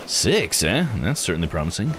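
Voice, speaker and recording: A man voicing a composed, dry-witted seeker of legends in a visual novel, with measured, formal manner. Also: deep voice, sing-song, fairly bright tone, a little hiss or hum, voice pushed down. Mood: intrigued. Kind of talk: visual novel character read aloud. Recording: game music under the voice.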